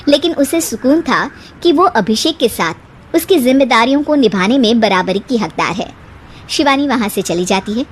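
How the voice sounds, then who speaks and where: A woman's voice: a little high, expressive, normal speed, slight background noise. A woman storyteller reading a scripted moral story.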